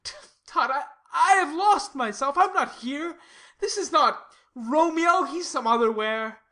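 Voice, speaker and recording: A woman's voice: high voice, expressive, takes it slowly, pushed and bright, heavily acted. A woman playing a lovesick, brooding young nobleman in a dramatic Shakespearean reading, with a sighing, melodramatic delivery. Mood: distracted.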